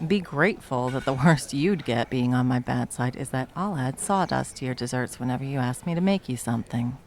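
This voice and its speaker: A woman's voice: extremely low pitch, brisk, darkish tone, slight background noise. A woman playing a smug, nonchalant villain who works as a barista, dry and teasing in a comedic ASMR roleplay. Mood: casual, deadpan. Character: smug, deadpan young villain working as barista.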